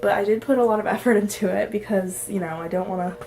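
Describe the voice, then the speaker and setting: A woman's voice: medium pitch, fast, over music. Chatty young woman with a stuffy cold. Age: young adult. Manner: chatty, laughs often, many fillers. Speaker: vlogger. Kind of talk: casual chat to camera.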